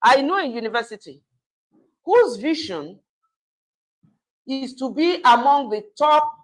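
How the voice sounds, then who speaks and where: A middle-aged woman, a university administrator giving a loud, deliberate workshop lecture. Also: sits high, lively intonation.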